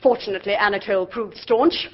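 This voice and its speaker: An actress playing a brisk, bossy middle-aged aunt in a radio drama. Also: female voice, medium pitch, slightly rough, lightly acted. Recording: dull lo-fi audio.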